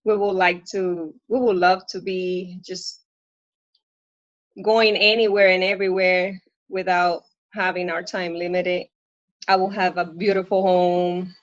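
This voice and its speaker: A woman from Puerto Rico speaks with a Puerto Rican accent, English her second language after Spanish. She talks slowly and earnestly, often stopping and restarting her sentences. The audio is dry and a little dull, like a lo-fi call recording. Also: fairly low pitch, level tone, no room sound. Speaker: coaching client, working mom. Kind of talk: live coaching conversation.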